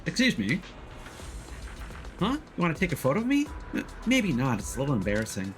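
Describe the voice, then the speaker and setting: A man's voice: fairly high pitch, wildly swooping pitch, brisk, a bit bright, faint music behind. A man voicing a bashful, hesitant video game character who stammers with embarrassment. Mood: bashful, nervous. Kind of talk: reading game dialogue aloud in character.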